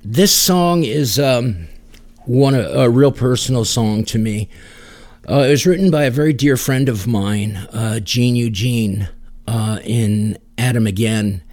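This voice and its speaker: A man hosting a music podcast, introducing songs in a halting, reflective way. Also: medium pitch, a little mellow. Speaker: podcast host.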